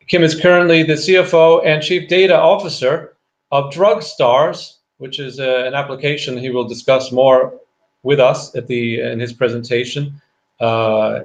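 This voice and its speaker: A man hosting an online event gives a semi-formal introduction of a speaker in a hesitant, pausing manner. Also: mid-range voice, fairly even intonation. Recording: dull lo-fi online call audio.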